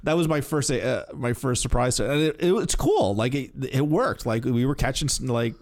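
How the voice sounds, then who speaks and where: A man's voice: medium pitch, animated, normal speed, a little mellow. A middle-aged man with an American accent co-hosting a casual pop-culture chat, wry, blunt and prone to casual swearing. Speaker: podcast co-host.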